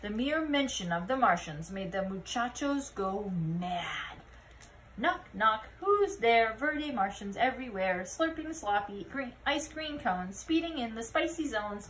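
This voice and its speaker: A woman reading a children's picture book aloud expressively, pausing to put questions to young listeners. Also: fairly high pitch, animated, a bit bright, a little hiss or hum, rough recording. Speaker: read-aloud storyteller.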